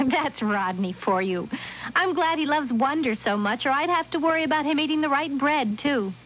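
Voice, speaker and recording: A woman's voice: sits high, expressive, moderate pace, fairly bright tone. A woman playing a fond, amused mother, chatting in an early 1980s radio commercial on noisy old tape. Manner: conversational, amused.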